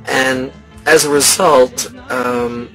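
A man's voice: medium pitch, fairly even intonation, drawn-out words, sharp tone, faint music behind, rough recording. A man in his forties from the States, speaking thoughtfully in a documentary interview, often hedging his words. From United States. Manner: thoughtful, hedging, hand on chin. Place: indoor studio, red backdrop.

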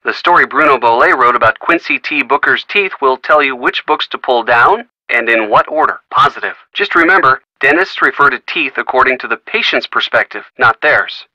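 An upbeat game helper who talks players through puzzles in a breezy, chummy way. His tone is very bright and forward, and the dry, close studio read leaves no room sound. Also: medium pitch, lively intonation, normal speed, few pauses, no room sound, rough recording. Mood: helpful. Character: friendly male hint-line helper, upbeat. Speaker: in-game hint giver. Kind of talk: studio video game voice acting.

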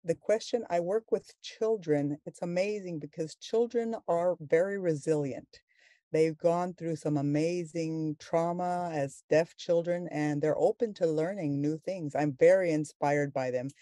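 A sign language interpreter voicing a panelist's words on a video call, halting and full of fillers and restarts. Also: medium pitch, warm tone, no echo, studio quality.

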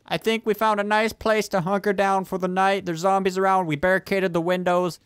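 A man hosting a gaming video, giving excitable, urgent callouts and casual roleplay commentary as he plays with others. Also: high-pitched, brisk, clean tone, no room sound.

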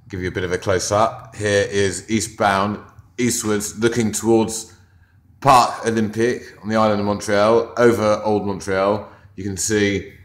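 A man with a deep, fairly monotone voice gives a relaxed, offhand tour of his wall mural. He points things out casually as he walks with the camera. The office lunchroom around him rings with a noticeable echo. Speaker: muralist giving a walkthrough. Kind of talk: casual narrated video tour.

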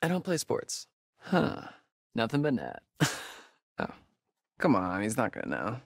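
An actor playing a joking, sardonic young camp counselor in a video game, with an American accent and a laid-back, slangy way of talking. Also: male voice, medium pitch, huge pitch swings, unhurried, creaky, slight background noise, full character voice. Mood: playful, wisecracking. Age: young adult. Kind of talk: naturalistic video game acting.

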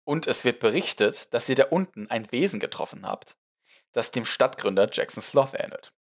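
A man's voice: mid-range voice, animated, moderate pace, close to an everyday voice. A man voicing an exasperated, nerdy male researcher with a dry, lecturing manner in an audiobook. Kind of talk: audiobook character acting.

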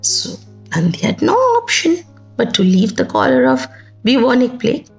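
A middle-aged woman with an Indian accent telling a folktale in an expressive, emphatic way, with conversational asides. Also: high-pitched, moderate pace, creaky. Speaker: storyteller. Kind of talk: dramatic oral folktale storytelling. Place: indoors, small plain room. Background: music.

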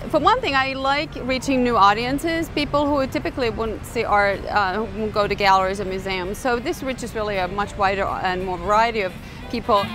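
Brief: reflective artist, woman from Finland, measured interview talk, music bed. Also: on the high side. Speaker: artist and professor. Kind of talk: on-camera interview. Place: outdoors in a city park.

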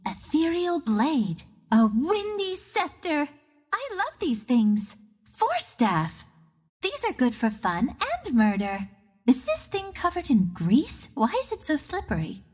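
A woman's voice: high voice, a little mellow, full character voice. A woman playing a cocky, playful young archer heroine of the wind in a video game, with a breezy, sassy, theatrical delivery. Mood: confident, playful, then excited, sassy. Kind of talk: video game hero voice lines.

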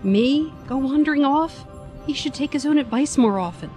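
A woman's voice: sits high, lively intonation, normal speed, warm tone, soft background music. A woman playing a wry, practical adventuring companion in an audio drama, dry and questioning.

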